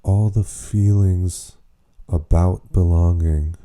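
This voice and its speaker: A man leading a guided meditation, speaking in an unhurried, gently questioning way with long pauses. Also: low-pitched, steady tone. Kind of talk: slow spoken guided meditation.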